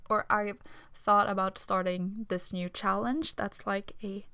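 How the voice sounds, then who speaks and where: A young woman from Sweden with a Swedish accent, talking quietly and self-consciously over her speed drawing, with small nervous laughs. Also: mid-range voice, fairly even intonation, measured pace, clear, resonant tone, dry recording. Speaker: art vlogger. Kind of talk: casual voice-over talk during a speed drawing. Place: home room.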